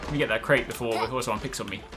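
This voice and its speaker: A man in his thirties giving excited, chatty, exclamatory live commentary while playing a video game. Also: medium pitch, rapid-fire, over loud music. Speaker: gaming video creator. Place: indoor room at a desk.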